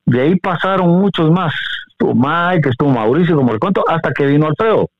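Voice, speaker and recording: A man's voice: fairly high pitch, animated, brisk, a little hiss or hum. Guatemalan man on a phone-like line. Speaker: interview guest, veteran radio broadcaster. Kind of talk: casual interview, reminiscing. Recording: dry, narrow phone-like line.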